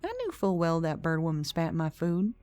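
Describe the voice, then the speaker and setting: A woman's voice: low-pitched, wildly swooping pitch, normal speed, dark tone, no echo. A woman voicing a brash, foul-mouthed, sardonic nonhuman young woman in an audiobook, blunt and sarcastic. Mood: sardonic, annoyed. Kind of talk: audiobook character acting.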